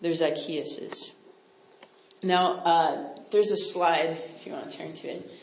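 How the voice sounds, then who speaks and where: A woman's voice: sits low, steady tone, takes it slowly, a little mellow. Roomy-sounding woman teaching conversationally. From Canada. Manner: conversational, chatty, with frequent "like" and "right?" asides. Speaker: missionary speaker. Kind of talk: casual Bible teaching talk with audience questions. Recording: roomy echo.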